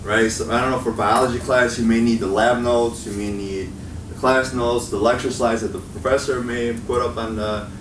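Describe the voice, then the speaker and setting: A man's voice: mid-range voice, steady tone, normal speed. A young man with a Chicago accent, giving a casual talk to college students as a guest speaker and often checking in with "right?". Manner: conversational, often tags points with "right?". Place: meeting room at a college. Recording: echoey room from far off, noisy.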